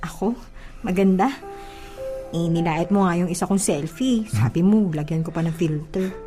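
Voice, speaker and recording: A woman acts as a coy young provincial woman being courted. Her voice is mellow and teasing, in a radio-style romance with music underneath. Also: on the low side, moderate pace, close to an everyday voice. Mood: sassy teasing. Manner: coy, teasing, hesitant when surprised.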